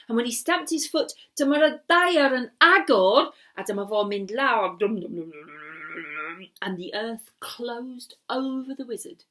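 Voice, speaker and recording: A woman telling a story in an animated, dramatic way, often dropping to a hushed breathy delivery. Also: mid-range voice. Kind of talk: animated bilingual oral storytelling.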